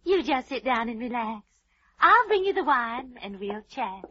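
An actress playing a fluttery, genteel, middle-aged hostess in an old-time radio drama. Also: female voice, a little high, sing-song, unhurried, slightly rough, heavily acted. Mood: coaxing. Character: fluttery, genteel fifty-year-old Southern-society hostess, solicitous and sly. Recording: muffled, hazy vintage radio recording.

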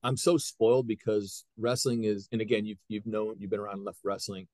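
A man in his fifties from Ohio, a high school wrestling coach who talks earnestly in a casual podcast chat and often acts out what people said. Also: level tone, a little fast, a little mellow, dry recording, clean studio sound. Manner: earnest, retells scenes by quoting people.

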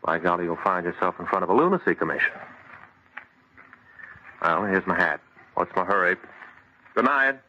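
Bright, blunt skeptical man, old radio. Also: low voice, lively intonation, normal speed, pauses often, full character voice. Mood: impatient. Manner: blunt, scoffing, impatient. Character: sensible, exasperated male friend and future brother-in-law. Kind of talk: old-time radio horror acting. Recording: dull, noisy vintage radio recording.

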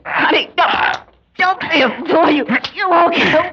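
A woman's voice: very high voice, moderate pace, crowd noise. An actress in an old radio drama playing a frightened, stammering, cornered woman who pleads breathlessly. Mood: terrified, pleading. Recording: muffled old radio recording.

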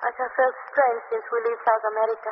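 A woman's voice: a little high, flat delivery, fast talker, natural voice. An actress playing a strange, seductive woman from the South American jungle, in 1940s radio acting style. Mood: subdued. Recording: muffled old radio broadcast with hiss.

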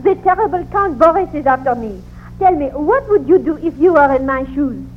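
A woman's voice: high-pitched, animated, normal speed. A woman playing a gushing, flirtatious French countess in distress, with a French accent, in a 1940s radio comedy. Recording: tinny old radio recording, faint noise.

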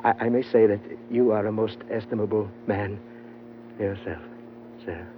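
A man playing a courteous, measured trader with formal, halting politeness in a 1940s radio drama. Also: lowish voice, little pitch movement, narrow, tinny audio, natural voice. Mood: gracious. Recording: band-limited old radio with hum.

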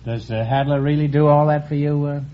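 A man's voice: fairly high pitch, fairly even intonation. Wisecracking man hosting an old radio quiz. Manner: quick teasing jokes and puns. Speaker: quiz show host. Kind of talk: wisecracking quiz show banter. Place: radio studio with live audience. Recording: dull old radio broadcast with hiss. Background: audience laughter.

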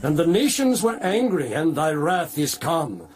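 A man's voice: fairly high pitch, big pitch swings, measured pace, darkish tone. A man playing a reverent heavenly elder in a dramatized scripture audiobook, declaiming in solemn worship. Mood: reverent, exalted. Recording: music behind the voice.

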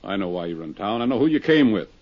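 An actor playing a gruff, combative businessman in a 1950s radio drama, brusque and scornful. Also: fairly low pitch, big pitch swings, fast. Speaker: businessman under suspicion. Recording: dull lo-fi old radio broadcast.

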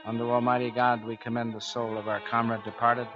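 A man's voice: mid-range voice, little pitch movement, a little fast, music in the background, natural voice. A man playing a tough, commanding but caring navy chief in 1940s radio acting. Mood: solemn. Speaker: actor in a radio drama. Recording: dull 1940s radio broadcast recording.

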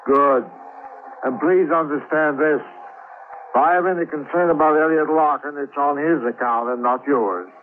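An actor playing a stern, wealthy older uncle, blunt and imperious, in a 1940s radio drama. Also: male voice, on the high side, unhurried, phone-quality sound, a little hiss or hum, close to an everyday voice. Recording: thin band-limited old radio recording.

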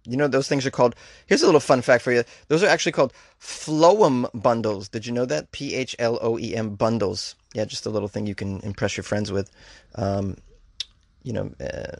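Guy doing a comedy news bit, middle-pitched voice, goofy asides, laughs at his own jokes, dry close sound. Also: animated, brisk, no room sound. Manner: mock-incredulous asides. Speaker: host. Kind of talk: comedic news reading and riffing.

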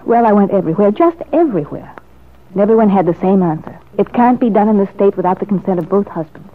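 Actress playing determined anxious wife, earnest, dramatic, husky, 1940s radio soap, narrow old-broadcast sound. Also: female voice, mid-range voice, lively intonation, quick pace, close to an everyday voice. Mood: discouraged, emphatic. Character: determined, anxious married woman longing for a baby. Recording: old muffled radio recording.

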